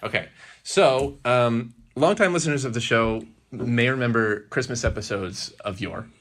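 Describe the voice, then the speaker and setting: A man hosting a podcast, telling stories in a casual, rambling, halting way with frequent self-corrections. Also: mid-range voice, fairly bright tone. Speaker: podcast host.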